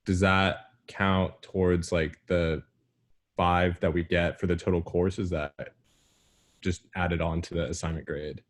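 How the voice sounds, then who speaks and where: A man's voice: deep voice, flat delivery, measured pace. A young man, a college student, asking casual questions in class in a tentative way full of fillers. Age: young adult. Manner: tentative, filler-heavy with frequent "like".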